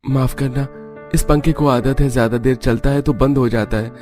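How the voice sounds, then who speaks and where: A man voicing a kind, apologetic young husband from a poor family in a cartoon, gentle and reassuring. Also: medium pitch, steady tone, moderate pace, faint music behind, pitched above the performer's own voice, close to an everyday voice.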